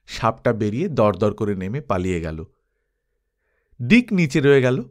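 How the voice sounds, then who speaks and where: A man's voice: fairly low pitch, a little slow. A man reading an adventure story aloud as dramatized audiobook narration, acting expressively through the tale. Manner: expressive, acts out characters' dialogue.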